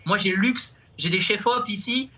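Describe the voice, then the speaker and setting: A man's voice: high-pitched, bright, forceful tone. A young man, a comedian guest on a TV talk show, speaking casually with many restarts and repeated words. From France. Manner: halting, repeats words, laughs often. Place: TV studio.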